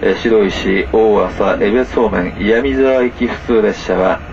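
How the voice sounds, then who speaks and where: A man making a formal train announcement with many hesitant filler pauses, heard through a loudspeaker on a moving train. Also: creaky. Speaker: train conductor. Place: inside a moving sleeper train. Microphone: camera recording the train's PA speaker. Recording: muffled PA speaker over rumbling train noise. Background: train running noise.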